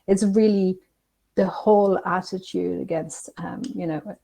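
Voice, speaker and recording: A woman whose first language is not English speaks on a webinar panel in a low, mellow voice. She talks haltingly, restarting her sentences often as she searches for words. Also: fairly even intonation, gravelly. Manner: halting, with many restarts and fillers.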